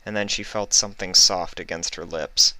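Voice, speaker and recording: Low, bright-voiced man narrating. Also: steady tone, normal speed, dry recording. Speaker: fan fiction reader. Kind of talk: scripted story narration.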